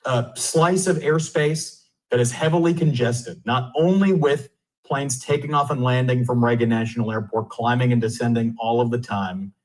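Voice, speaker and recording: A male news reporter with a medium-pitched voice delivers live breaking coverage. He explains details step by step, hesitating at times and then stressing key points. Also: poor audio.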